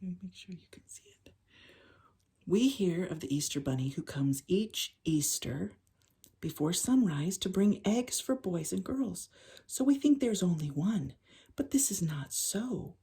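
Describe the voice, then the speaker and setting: An older woman with a husky, breathy voice reading a children's story aloud in an unhurried, expressive way. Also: on the low side.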